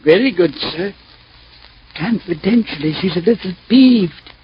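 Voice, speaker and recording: A very high-pitched, prissy male butler from a vintage radio play. He is formal, deferential and discreet, with a muffled old recording around him. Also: lively intonation, unhurried, a little hiss or hum. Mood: prim, discreet. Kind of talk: 1950s radio comedy-drama acting.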